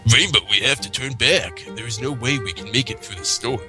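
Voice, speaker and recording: A man voicing an earnest female pony with a gravelly, smoker-like voice in a fan fiction read-aloud. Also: medium pitch, fairly quick, music in the background, close to an everyday voice. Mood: worried. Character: gruff smoky female pony Twilight, earnest.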